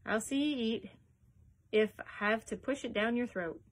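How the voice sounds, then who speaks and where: A woman reading aloud and voicing a nervous male kennelman with a sharp, nasal voice and rustic dialect, hurrying to please his master. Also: fairly high pitch, husky. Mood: menacing. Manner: hurried, eager to please.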